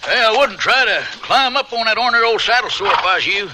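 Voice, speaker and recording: A folksy, teasing cowboy with a drawling American West accent, pitched a bit high. He slings frontier slang in old radio western style, over clearly audible noise. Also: lively intonation, quick pace. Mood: warning, folksy. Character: brash, folksy, teasing cowboy fresh out of jail. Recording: noisy radio drama recording.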